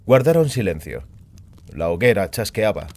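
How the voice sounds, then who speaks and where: A man's voice: lowish voice, sing-song, moderate pace, darkish tone. A man reading scripted narration for a fantasy audiobook.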